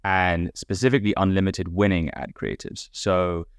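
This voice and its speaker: A man with a British accent, softened by years in America, explaining his startup as a podcast guest in a relaxed way, with many "like"s and "basically"s. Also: sits low, normal speed, clean tone, no echo, studio quality. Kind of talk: casual podcast interview.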